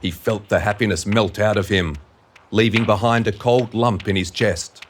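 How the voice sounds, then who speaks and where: A man's voice: lowish voice, steady tone, normal speed, a little hiss or hum. A man reading scripted narration for an audio drama.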